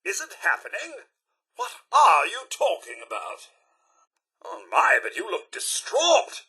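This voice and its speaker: A man's voice: very high voice, wildly swooping pitch, a little slow, bright, forceful tone, husky, heavily acted. A man voicing a fussy, devoted robot butler in a video game, with a British accent and prim, florid, anxiously cheerful politeness. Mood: concerned. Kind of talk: video game character voice acting.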